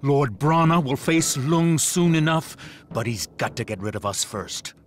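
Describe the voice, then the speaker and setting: An actor playing a stern, fierce lord of a pirate clan in a video game, speaking with declamatory authority. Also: male voice, a little high, lively intonation, unhurried, a little hiss or hum. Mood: grim, scornful. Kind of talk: video game cutscene acting.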